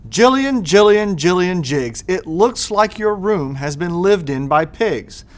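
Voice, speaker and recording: A man's voice: high voice, animated, unhurried. A man voicing an exasperated, scolding mother in a rhyming children's storybook, with a sing-song delivery. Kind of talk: children's storybook read-aloud.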